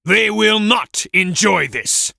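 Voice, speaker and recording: An actor playing a bold, boisterous warrior with a playful streak, delivered theatrically as an older video game character. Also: male voice, high voice, expressive, slow pace, vocal fry, sharp tone, no echo, studio quality, exaggerated voice. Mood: menacing.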